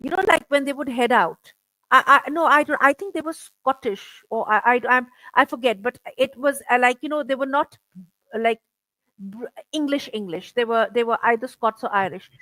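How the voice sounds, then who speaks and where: A middle-aged woman interviewing over a video call, chatty and halting, filling her talk with "you know" and restarts. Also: on the high side, bright, forceful tone, no echo, low-quality recording. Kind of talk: casual conversational interview.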